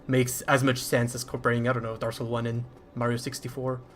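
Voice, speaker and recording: A man's voice: mid-range voice, flat delivery, fairly quick, soft background music. A man with a French accent commentating live over his own speedrun in a chatty, self-deprecating way.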